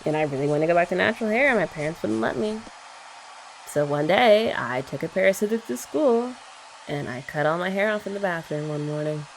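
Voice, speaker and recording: Young woman, ASMR girlfriend roleplay, sweet and soft-spoken, slightly low pitch. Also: expressive, brisk, slight background noise, poor audio. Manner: soft, chatty and sweet, often laughing softly.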